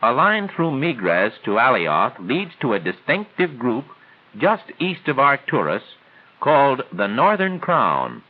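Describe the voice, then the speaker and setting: A man narrates in the formal manner of a 1940s military training film. His mid-pitched voice moves in animated contours as he explains each point in carefully scripted phrases. The sound is thin and boxed-in, like an old optical film track. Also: narrow, tinny audio. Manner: formal, didactic, measured phrasing.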